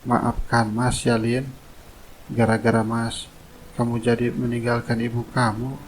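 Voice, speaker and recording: A man's voice: medium pitch, completely flat delivery, measured pace, a little hiss or hum, lightly acted. A man playing a humble, self-effacing poor young labourer in a cartoon drama, polite and subdued. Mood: humble, resigned.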